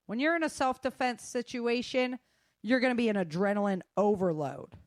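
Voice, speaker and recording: A woman's voice: fairly high pitch, normal speed, no echo, clean studio sound. A middle-aged woman talking casually and frankly, giving emphatic, informal advice as an instructor. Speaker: self-defense instructor and guest.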